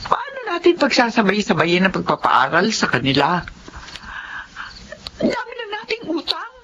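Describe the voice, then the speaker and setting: A woman's voice: mid-range voice, wildly swooping pitch, moderate pace, a bit bright. A middle-aged woman playing an anxious, caring wife and mother in a radio drama. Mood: worried. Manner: worried, pleading. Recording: muffled, faintly noisy drama audio.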